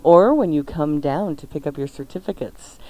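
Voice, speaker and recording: A man hosting a radio cooking show with playful, theatrical banter and campy, drawn-out flourishes. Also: fairly high pitch, rough recording. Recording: music mixed under the voice. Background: music.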